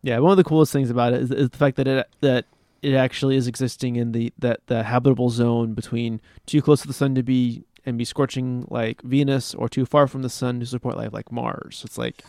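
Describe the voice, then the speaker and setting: A man's voice: medium pitch, flat delivery, brisk, gravelly, few pauses, darkish tone, no room sound. Male, casual podcast co-host; enthusiastic, rambling, many restarts; dry recording. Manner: rambling, restarts often, enthusiastic about space.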